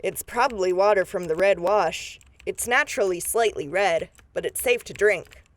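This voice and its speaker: A woman's voice: mid-range voice, moderate pace, lightly acted. A woman acting a confident deputy in a post-apocalyptic audio drama, with a casual, needling, folksy way of talking. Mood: puzzled, serious. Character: confident, folksy female deputy, teasing but firm.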